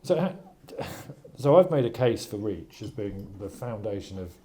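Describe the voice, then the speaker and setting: A man hosting a panel interview at an industry event, asking quick, chatty questions with a joking touch. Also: lowish voice, big pitch swings, background noise.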